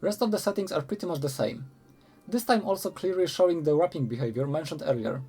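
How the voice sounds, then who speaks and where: Higher-pitched, mellow man narrating a tutorial. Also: animated, fast talker, faint music behind. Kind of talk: scripted instructional narration.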